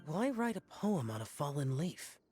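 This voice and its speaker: A man's voice: on the high side, big pitch swings, moderate pace, warm tone, faint music behind. A man playing an aloof, solemn, youthful demon-slaying guardian in an anime-style game, terse and formal. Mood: solemn, detached.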